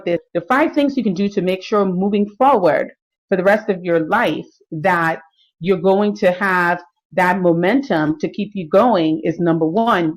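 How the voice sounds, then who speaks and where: A woman's voice: on the low side, poor audio. A middle-aged woman giving a live stream talk on fitness and nutrition, explaining at an easy pace with many fillers. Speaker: health and fitness coach.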